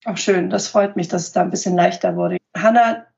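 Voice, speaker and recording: A woman hosting a podcast interview, hoarse from a cold, asking encouraging questions. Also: lowish voice, steady tone, a little fast. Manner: warm, encouraging questions with many affirming hums. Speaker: podcast host. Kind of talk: casual interview chat. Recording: dull lo-fi sound.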